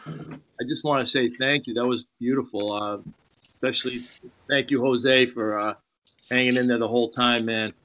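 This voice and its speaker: Male screenwriter, mid-pitched, loose informal talk on an online call. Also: fairly even intonation, normal speed, fairly bright tone. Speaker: screenwriter giving thanks.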